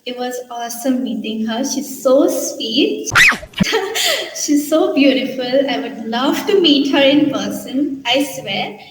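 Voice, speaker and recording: Indian woman interviewee, high and bright-toned, cheerful, recorded in a reverberant room. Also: expressive, slow pace, heavy background noise. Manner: cheerful, effusive, sprinkled with "you know". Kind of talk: casual interview answers. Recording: echoey room with long reverb.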